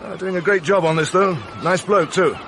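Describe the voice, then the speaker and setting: An actor playing a polite, slightly nervy British assistant film director in a radio mystery drama. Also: male voice, high-pitched, steady tone, moderate pace, vocal fry, noisy background. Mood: matter-of-fact.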